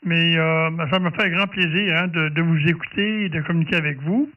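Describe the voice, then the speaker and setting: Quebec-accented man, amateur radio hobbyist, friendly and talkative, slightly high voice, distant lo-fi transmission. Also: fairly even intonation, brisk. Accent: Quebec French. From Canada. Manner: friendly, chatty. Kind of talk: casual ham radio chat.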